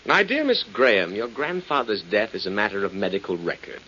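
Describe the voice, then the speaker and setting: A sneering, mock-polite male villain from a forties radio play. His delivery swings widely and has a hard, bright edge. The old recording is muffled and hissy. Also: on the low side, animated, a little fast. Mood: cold, taunting. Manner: condescending, sneering, mock-courteous. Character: smug, menacing male secretary suspected of murder. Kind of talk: 1940s radio drama acting.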